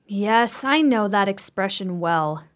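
A woman's voice: mid-range voice, lively intonation, slow pace. A woman playing a composed married sister in an old-fashioned gothic radio drama, speaking with formal, genteel diction. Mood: uneasy.

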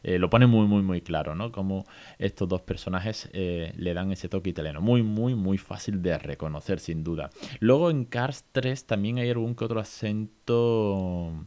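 A man hosting an educational podcast, chatty and relaxed, often asking his listeners questions. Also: deep voice, moderate pace. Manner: chatty, many fillers, asks listeners questions. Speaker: podcast host. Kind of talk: casual educational podcast talk.

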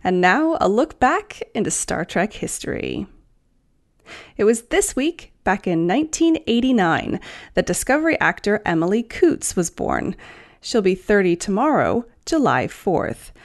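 A woman's voice: mid-range voice, darkish tone. A woman hosting a podcast, reading scripted entertainment news. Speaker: podcast host and newsreader.

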